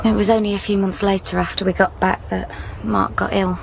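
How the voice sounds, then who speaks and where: An actress playing a recently widowed young woman in a radio drama, speaking softly and hesitantly, curious and tender. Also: medium pitch, little pitch movement, fairly quick, gravelly, noisy background, natural voice. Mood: sorrowful. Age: young adult. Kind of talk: naturalistic radio drama acting.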